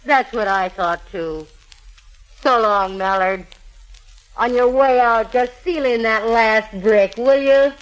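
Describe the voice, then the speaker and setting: High-voiced, plucky woman sleuth. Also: slow, rough recording. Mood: wry. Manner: wry, bantering. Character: plucky, wry female amateur sleuth. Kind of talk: 1940s radio drama acting. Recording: vintage radio recording.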